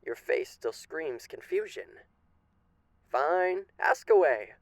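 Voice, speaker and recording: A man's voice: a little high, lively intonation, takes it slowly, vocal fry, no room sound, heavily acted. A man playing a suave, menacing radio demon in an ASMR roleplay, speaking with genteel, teasing, theatrical politeness. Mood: coaxing, condescending.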